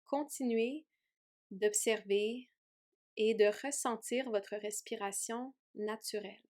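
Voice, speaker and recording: A woman yoga teacher leading a guided meditation in a gentle, soothing way with long pauses. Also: a little high. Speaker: yoga teacher guiding a relaxation.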